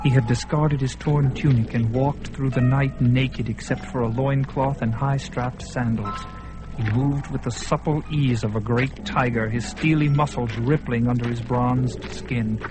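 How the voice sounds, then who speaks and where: A man's voice: medium pitch, steady tone, measured pace, over music, low-quality recording. A man narrating a radio drama in a vivid, dramatic way. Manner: vivid, building intensity.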